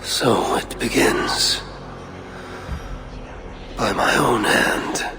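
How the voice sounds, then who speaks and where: An actor playing a proud, battle-worn Norse high god in a video game cinematic, gravelly, solemn and deliberate. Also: male voice, sharp tone, long reverb tail, full character voice. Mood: grave, commanding. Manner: solemn, theatrical, deliberate delivery.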